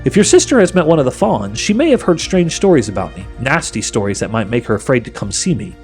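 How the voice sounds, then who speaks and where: A man's voice: medium pitch, very animated, fast, darkish tone, faint music behind, natural voice. A man voicing a sly, coaxing witch queen for a storybook, speaking in a grand, persuasive, commanding way. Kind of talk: audiobook character voice.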